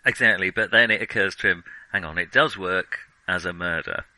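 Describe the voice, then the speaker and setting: Male film podcast host, very bright forceful voice, dry close sound, halting and digressive, nerdy enthusiast. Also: deep voice, no echo, rough recording. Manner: hesitant, digressive, thinking aloud; self-confessed nerdy enthusiasm. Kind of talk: casual film discussion.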